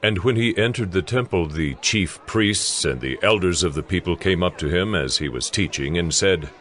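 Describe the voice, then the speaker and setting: A man narrating scripture from a script in a measured, formal storytelling manner. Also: low-pitched, animated, a bit bright.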